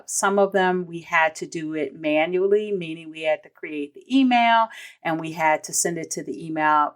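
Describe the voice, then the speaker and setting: A woman's voice: sits low, a bit bright. An American woman hosting an instructional podcast, explaining in a conversational way with emphasis on key points and casual asides. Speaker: podcast host, vendor payments trainer.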